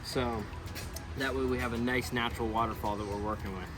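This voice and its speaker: A man's voice: mid-range voice, steady tone, fairly quick, over music. A man giving an upbeat, informal walkthrough as a builder hosting a project video outdoors. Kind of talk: casual on-site project walkthrough. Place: outdoors at a backyard pond construction site. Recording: noisy outdoor site, vehicle and ambient noise.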